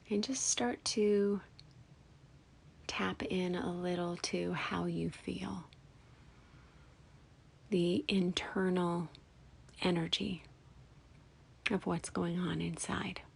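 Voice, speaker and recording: A woman leading a guided meditation, speaking softly and soothingly in short phrases with long pauses and breathy, whispery endings. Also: fairly low pitch, steady tone. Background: background music.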